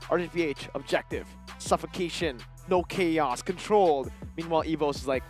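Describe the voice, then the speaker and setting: A man with a slightly high voice casts a competitive video game live. He breaks his play-by-play and analysis into punchy, clipped phrases. Faint music runs behind him. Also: moderate pace. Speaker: esports shoutcaster.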